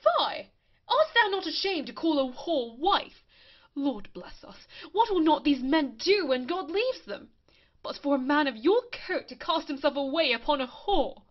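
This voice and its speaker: High, swooping, scandalised gentlewoman played by an actress. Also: big pitch swings, a little slow, muffled recording. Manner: exclamatory, indignant. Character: scandalised, scornful gentlewoman in a Restoration comedy. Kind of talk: period stage comedy acting.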